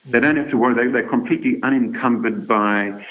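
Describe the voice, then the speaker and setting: A man's voice: medium pitch, a little fast, narrow, tinny audio. An older man from South Africa, a woodworking teacher talking in an interview, often repeating words and restarting his sentences. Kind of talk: podcast interview.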